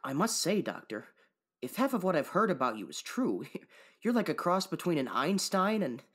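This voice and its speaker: A man's voice: a little high, sing-song, normal speed, fairly bright tone. A man playing an eager special agent. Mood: admiring. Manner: admiring, inquisitive.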